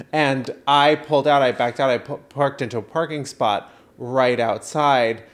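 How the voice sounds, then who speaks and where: A man with a mid-pitched voice chats as a podcast co-host. He tells comedic stories in an animated, dramatic manner, voicing people's reactions as he goes. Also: measured pace. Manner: animated, dramatic storyteller who acts out reactions and quotes. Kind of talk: casual comedic storytelling chat.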